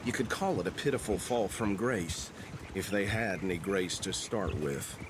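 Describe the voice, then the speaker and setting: An actor playing an earnest, self-important small-town deputy in a western game, folksy and talkative with a Southern accent. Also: male voice, deep voice, normal speed, close to an everyday voice. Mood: chatty. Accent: American South. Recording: clear outdoor noise, animals.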